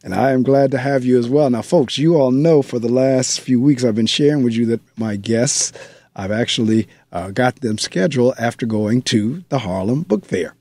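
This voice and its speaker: A man's voice: medium pitch, moderate pace, mellow, no room sound. A man hosting a radio book show, chatting casually and speaking straight to his listeners from the studio. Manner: chatty, conversational, addresses listeners directly. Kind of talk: radio interview.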